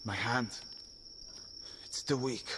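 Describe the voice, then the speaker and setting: An actor playing a gruff, wry travelling companion out hunting in an audio game, low-key and dry. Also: male voice, fairly even intonation, slow pace, natural voice. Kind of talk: naturalistic audio game acting. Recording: noisy outdoor ambience with crickets.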